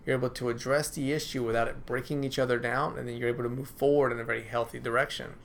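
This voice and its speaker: A man's voice: mid-range voice, steady tone, fast talker, vocal fry, a little hiss or hum. A man who is a counselor, talking casually to camera from his office, often adding "right?" and repeating himself. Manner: conversational, repeats phrases, asks "right?" often. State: dry throat, clearing it. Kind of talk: informal talk to camera.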